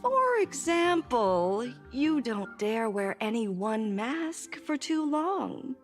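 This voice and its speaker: Smug lady quack doc from a game, kinda high voice, theatrical taunts, soft music under. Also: big pitch swings, slow. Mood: pointed taunting. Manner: pronouncing, theatrical. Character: smugly theatrical female quack doctor, coolly taunting. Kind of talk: video game voice acting.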